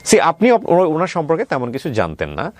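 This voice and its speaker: A man's voice: a little high, wildly swooping pitch, fairly quick, no echo. A Bangladeshi man hosting a conversational interview, asking probing questions and mixing English words into his speech. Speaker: talk show host interviewer.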